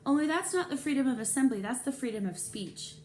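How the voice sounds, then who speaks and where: A woman's voice: high voice, animated, quick pace, voice pushed up. A woman voicing an earnest young schoolgirl in a children's novel read-aloud. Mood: earnest, encouraging. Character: nervous young girl narrator talking with a friend. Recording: clear background noise.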